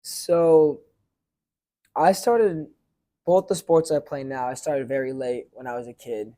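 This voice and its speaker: A teenage boy talks about being a sports team captain in a relaxed, mellow voice. He wanders through his answers, restarting sentences and filling gaps with "like" and "I feel like." His tone is casual and unpolished. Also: mid-range voice, unhurried. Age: teens. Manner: rambling, lots of fillers and restarts. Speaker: high school lacrosse goalie and captain.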